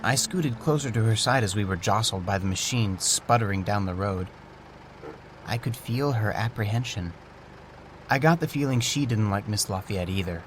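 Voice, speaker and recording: A man narrating a scripted story in a gentle, wondering way. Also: fairly low pitch, moderate pace, slight background noise, low-quality recording.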